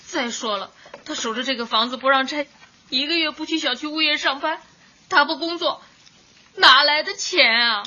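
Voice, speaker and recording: High, bright, flustered wife. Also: female voice, normal speed, lo-fi sound. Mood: plaintive, aggrieved. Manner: plaintive, apologetic. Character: timid, flustered working-class wife, eager to please. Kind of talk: Chinese radio drama acting.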